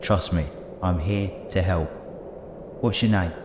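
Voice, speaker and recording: An eccentric, reassuring time-travelling hero acted by a man in a sci-fi audio drama. His low voice muses earnestly through echo and loud background noise. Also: measured pace. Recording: echoey amateur audio drama, very noisy background.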